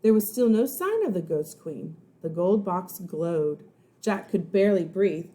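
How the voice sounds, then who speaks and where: Animated woman reading a children's story aloud. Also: on the low side. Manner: suspenseful, dramatic, pauses to explain words. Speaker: first grade teacher reading aloud. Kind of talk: expressive children's storybook narration.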